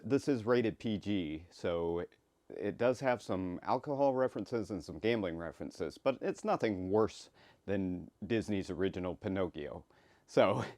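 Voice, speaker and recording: A man introducing his own work in casual, rambling commentary, with a halting delivery. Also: lowish voice, a little mellow, no room sound. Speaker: creator introducing his comic.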